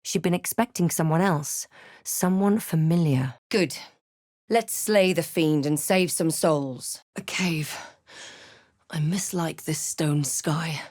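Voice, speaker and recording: An actress playing a wary, snarky female adventurer in a fantasy video game, with a dry, measured, theatrical delivery. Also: deep voice, darkish tone, no echo. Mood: hushed. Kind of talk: video game voice lines, scripted barks and narration.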